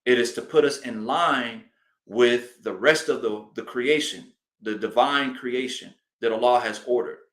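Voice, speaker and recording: A man delivers a religious teaching address in a mid-pitched voice, deliberate and emphatic. He often repeats a phrase to press the point. The recording is roomy and echoing, like live public speaking indoors. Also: a bit bright. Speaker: imam giving a religious address. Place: indoor hall of a mosque.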